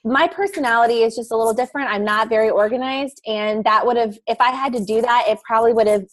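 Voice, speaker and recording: A woman with a slightly high voice talks loosely about her podcast, rambling with lots of "like" and "um". Casual and informal throughout. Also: fast. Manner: rambling, informal, many fillers. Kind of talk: casual panel discussion.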